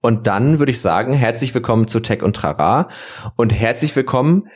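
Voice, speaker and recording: A man's voice: mid-range voice, lively intonation, moderate pace, darkish tone, soft background music. A man from Germany, hosting a podcast in an enthusiastic, chatty way with lots of fillers. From northern Germany. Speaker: podcast host. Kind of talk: casual podcast chat.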